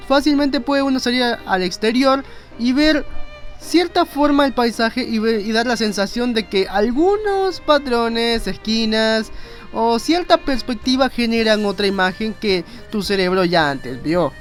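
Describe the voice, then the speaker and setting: A man's voice: very high-pitched, animated, measured pace. A man narrates an informal explainer. He rambles conversationally and drops in self-mocking asides. Faint music plays behind his narration. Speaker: video narrator and graphic designer.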